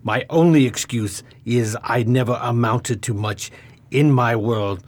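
A man's voice: medium pitch, unhurried, natural voice. A man playing a kindly old humbug of a wizard in a radio drama, with a formal, wordy, theatrical manner. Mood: contrite. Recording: noisy radio drama recording.

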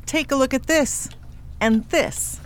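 A woman's voice: high voice, slow, a little hiss or hum. An actress playing a poised, earnest bank woman in a western radio drama, polite and grave. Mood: concerned.

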